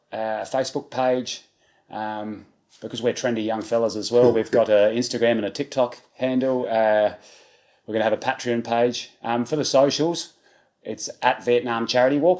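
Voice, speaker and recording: A man's voice: mid-range voice, fairly even intonation, moderate pace, darkish tone. A man in his thirties with an Australian accent, answering interview questions casually with many fillers. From South Australia. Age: 30s. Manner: easygoing, many ums and restarts. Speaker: charity walker.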